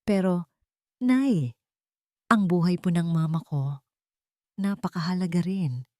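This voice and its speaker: A woman's voice: lowish voice, takes it slowly, dark tone, no room sound, studio quality. A woman voicing a scheming daughter-in-law in a story, sugary and falsely courteous with venom underneath. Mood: poisoned sweetness.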